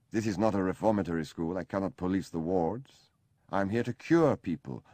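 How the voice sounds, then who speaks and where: A man reading an audiobook, voicing an exasperated male doctor who lectures briskly. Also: sits low, darkish tone, no echo, clean studio sound, pitched above the performer's own voice. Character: stern foreign male hospital doctor.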